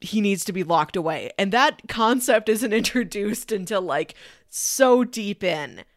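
A woman co-hosting a casual film discussion, retelling things in an animated way and acting out imagined lines. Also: medium pitch, unhurried, dry recording, studio quality. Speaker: podcast co-host discussing a film.

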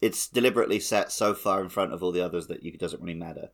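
A man's voice: low-pitched, racing. A man co-hosting a podcast with casual banter and discussion. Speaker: podcast co-host.

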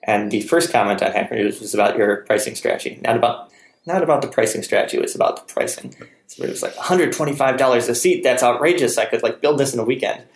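A man's voice: medium pitch, fast, reverberant. A man hosting a casual podcast, chatty and self-deprecating, talking at length about his work. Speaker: podcast host. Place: room in Palo Alto, California.

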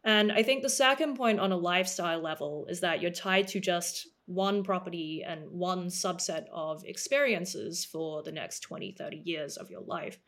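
Woman, 30s, from Australia; lower register, measured and polished interview guest. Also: fairly bright tone. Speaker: guest, startup co-founder and CEO. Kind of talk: podcast interview.

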